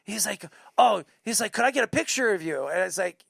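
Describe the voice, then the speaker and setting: Excitable New man with gravelly creak. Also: high-pitched, fast talker, dry recording, studio quality. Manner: excitable, repeats himself, profane. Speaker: sports talk show host. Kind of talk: casual chat, animated storytelling.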